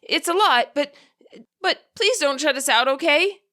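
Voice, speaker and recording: A woman's voice: high voice, animated, measured pace, bright, forceful tone, pitched above the performer's own voice, full character voice. A woman voicing a nervous, cautious middle-aged male spirit who speaks hesitantly and apologetically in a story reading. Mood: nervous, pleading.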